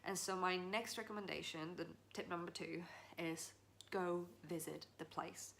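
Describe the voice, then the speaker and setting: A woman's voice: lowish voice, a bit bright, slight background noise. A woman from the Czech Republic talking through personal advice in an earnest, chatty way, with small laughs and restarts. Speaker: vlogger. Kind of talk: casual heart-to-heart advice.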